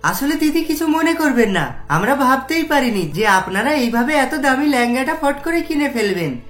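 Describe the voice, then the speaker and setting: A woman's voice: high voice, big pitch swings, normal speed, a bit bright. Male cashier: condescending apologies, cartoon delivery, roomy sound, music under. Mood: apologetic. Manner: deferential, explaining. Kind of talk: cartoon voice acting. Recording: roomy echo, music behind.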